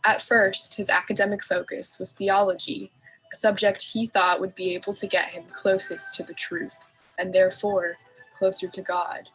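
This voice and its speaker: A woman's voice: medium pitch, little pitch movement, normal speed, fairly bright tone, breathy edge, faint music behind, low-quality recording. A college-aged woman from California introducing herself and reading part of a student presentation. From California, USA. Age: about 20. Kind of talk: self-introduction and scripted narration.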